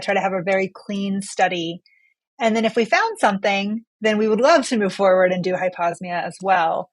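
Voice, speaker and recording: A woman's voice: medium pitch, dry recording. A woman physician being interviewed as a study author, explaining research in a conversational way and posing questions she then answers.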